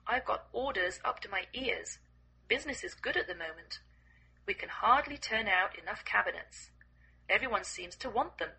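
A hoarse woman reading the lines of a friendly, apologetic male village cabinet maker, close to her own voice. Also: high voice, lively intonation, normal speed, sharp tone, exaggerated voice, rough recording. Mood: cheerful.

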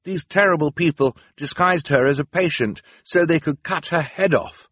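A man's voice: a little high, normal speed, a bit bright, husky, no room sound, pitched above the performer's own voice. A man voicing an earnest, frantic young orphan boy in a storybook reading, urgent and pleading. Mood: indignant.

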